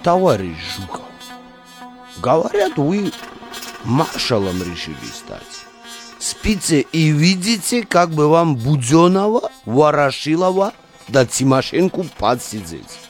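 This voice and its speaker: An actor in a modern audio drama playing a cynical, bossy relic digger, a slangy and commanding drinking buddy. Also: male voice, a little high, sing-song, slow, faint music behind, exaggerated voice. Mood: mock-solemn authority.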